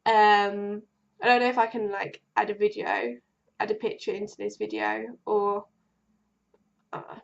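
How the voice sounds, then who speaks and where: A woman's voice: medium pitch, level tone, measured pace, vocal fry, long pauses, dull audio. Woman vlogging with a cold. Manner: rambling, many ums and restarts. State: bunged up with a cold, voice going. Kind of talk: casual personal vlog.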